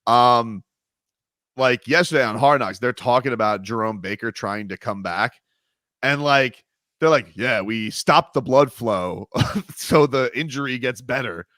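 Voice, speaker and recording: A man's voice: medium pitch, measured pace, fairly bright tone, no echo, clean studio sound. A man hosting sports talk radio, rambling casually with lots of fillers and laughs. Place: radio studio. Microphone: studio mic.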